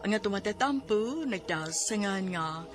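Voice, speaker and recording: A woman's voice: mid-range voice, lively intonation, moderate pace. A woman with a Cebuano accent announcing a radio drama in a dramatic, scripted style. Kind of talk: dramatic scripted programme introduction. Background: music.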